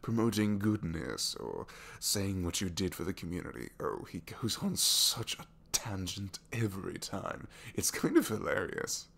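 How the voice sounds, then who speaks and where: Guy doing a soft shy villain for asmr roleplay, rambly and earnest, pitch wanders a lot, bit of background noise. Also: very animated, unhurried, creaky, few pauses, heavily acted. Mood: sincere, fond. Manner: hesitant, soft-spoken, rambling, often dropping to whispers. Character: captured supervillain, gentle, bookish, shyly earnest dreamer. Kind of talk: intimate ASMR audio roleplay.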